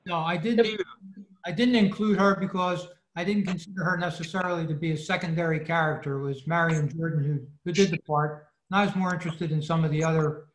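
A man's voice: on the high side, steady tone, brisk. Male club presenter, explanatory tone, very roomy video-call sound with slight background noise. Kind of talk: explaining in group discussion. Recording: echoey, noisy video call.

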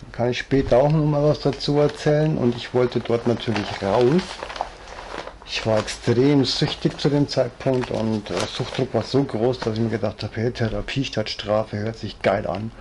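A man in his fifties with a Bavarian accent, telling his story in a rambling, chatty way in a living room, often trailing off with fillers, with a low-quality home recording. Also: measured pace, noisy background. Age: 50s. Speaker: vlogger. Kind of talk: casual storytelling monologue, reading aloud. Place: living room, seated in armchair.

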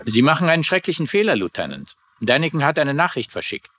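A man's voice: on the high side, big pitch swings, quick pace, fairly bright tone. A man playing a meddlesome, smug amateur sleuth, insistent and theatrical, in a dubbed crime drama.

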